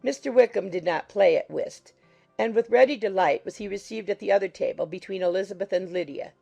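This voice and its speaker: A woman narrating a classic novel as a scripted audiobook, reading in a measured, literary manner. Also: medium pitch, vocal fry, warm tone, soft background music.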